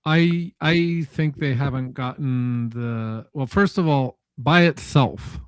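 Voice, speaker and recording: A man in a casual tech discussion, speaking haltingly and correcting himself as he thinks aloud. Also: mid-range voice, clear, resonant tone, no room sound.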